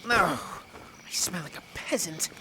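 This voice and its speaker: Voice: medium pitch, animated, slow, background noise. A performer playing a spoiled, indignant boy prince in an audio drama, haughty and full of protest.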